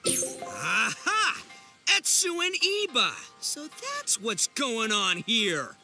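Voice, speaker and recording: Brash, high-energy young oni tough. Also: male voice, huge pitch swings, very slow, gravelly, bright, forceful tone, soft background music, heavily acted. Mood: triumphant accusing. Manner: boastful, theatrical, slangy. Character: brash, gullible oni man, loud overconfident young tough. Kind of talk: animated video game voice acting.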